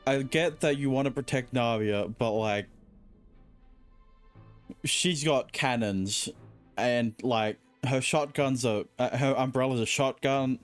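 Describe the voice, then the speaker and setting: A streamer giving casual, wry, halting live commentary over a video game. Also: medium pitch.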